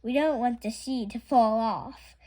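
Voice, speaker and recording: A woman's voice: on the high side, a little slow, darkish tone, natural voice. A woman voicing a cheerful, literal-minded housekeeper in a storybook, earnest and eager to please.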